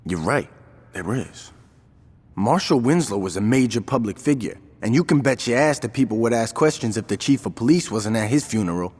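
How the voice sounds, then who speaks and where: A man playing a level-headed, streetwise gang lieutenant in a crime video game, measured and profane. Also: medium pitch, lively intonation, a little mellow, slightly rough. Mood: calculating, determined. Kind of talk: video game cutscene acting. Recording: long reverberant hall sound.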